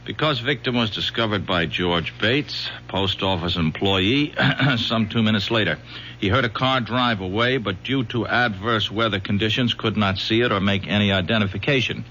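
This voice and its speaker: An actor in a 1970s radio drama playing an earnest, sceptical young police detective with a clipped, probing manner. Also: male voice, on the low side, normal speed, sharp tone. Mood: dry, official. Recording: hissy, dull old radio broadcast.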